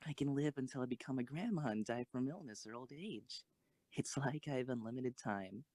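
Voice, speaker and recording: A woman playing an earnest, excitable teenage schoolgirl in an amateur anime-style audio drama. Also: rumbling low, brisk, no room sound. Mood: relieved, dreamy.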